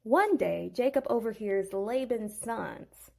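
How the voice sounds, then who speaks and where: A woman's voice: on the high side, lively intonation, slow pace, vocal fry, darkish tone. A woman casually retelling a bible story with playful, chatty asides.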